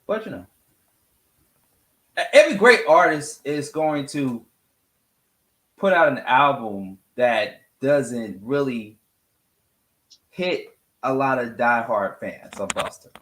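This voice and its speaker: Animated man, unhurried, in a roomy space. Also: medium pitch. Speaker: radio morning show host. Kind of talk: casual music discussion.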